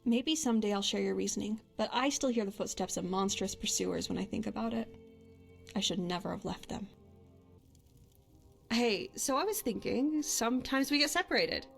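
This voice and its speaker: A woman's voice: medium pitch, animated, moderate pace, gravelly. Fantasy game companion: woman playing a bold, guilt-haunted young huntress, mid-range voice, unforced and conversational, light music underneath. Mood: haunted, regretful.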